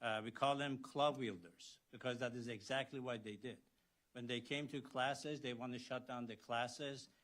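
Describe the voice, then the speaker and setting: Iranian-accented older man, halting with fillers. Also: mid-range voice, fairly even intonation. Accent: Iranian Azeri-Turkish. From Iran. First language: Azerbaijani Turkish. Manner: halting, many fillers, rising loudness on key phrases. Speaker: guest speaker, surgeon. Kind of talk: prepared policy talk.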